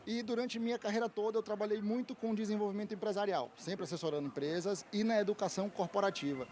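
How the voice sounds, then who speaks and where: Guy in his 30s, high voice, talks fast, polished lawyer answering questions at a fair stand, faint noise around. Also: steady tone, darkish tone. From Salvador, Bahia, Brazil. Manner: fluent, articulate, polished speaker. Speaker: lawyer and institute founder, guest. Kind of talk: interview answers at a trade fair.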